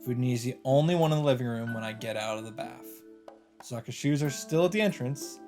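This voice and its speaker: A man's voice: medium pitch, quick pace, dark tone. A man hosting a let's play, reading visual novel narration aloud. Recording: game music faintly behind. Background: game music.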